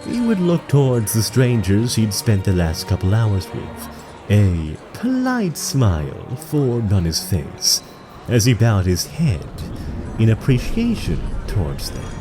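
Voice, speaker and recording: An actor playing a solemn storyteller, narrating a fantasy audio drama in a dramatic, literary manner. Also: male voice, on the low side, huge pitch swings, slow pace, music in the background, heavily acted. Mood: gentle.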